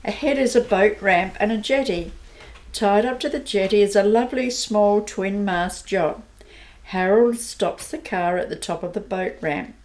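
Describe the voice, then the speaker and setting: A woman narrates an amateur radio play. She tells the story plainly and evenly, at a middling pitch. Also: a little slow, darkish tone, low-quality recording.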